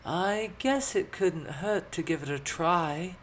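A narrator voicing a young noblewoman in a fantasy story, pompous but unsure of herself, with a drawn-out delivery. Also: mid-range voice, sing-song, slight background noise, pitched above the performer's own voice. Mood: reluctant.

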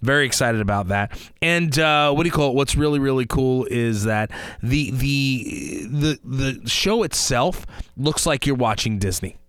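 A man's voice: medium pitch, expressive, measured pace, hardly any pauses, fairly bright tone, clear, resonant tone, no room sound. A tired man co-hosting a podcast, chatting casually and rambling with lots of fillers. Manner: rambling, many fillers like "what do you call it". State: tired, loopy. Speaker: podcast co-host, voice actor. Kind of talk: casual chat.

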